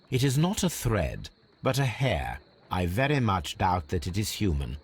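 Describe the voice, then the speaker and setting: Husky, low-voiced English detective. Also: male voice, full character voice. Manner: precise, measured, formal. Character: brilliant, unflappable Victorian male detective, coolly analytical. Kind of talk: scripted game dialogue and deductive narration.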